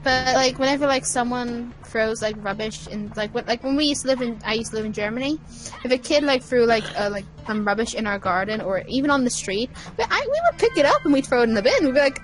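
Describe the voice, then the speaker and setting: A woman's voice: on the high side, animated, quick pace, faint music behind, rough recording. A woman chatting casually over a game, rambling with many fillers. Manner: rambling, lots of fillers, giggly storytelling.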